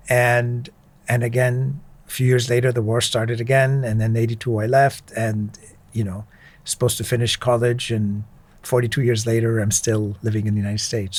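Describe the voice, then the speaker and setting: Measured man interviewing a guest. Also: lowish voice. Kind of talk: interview conversation.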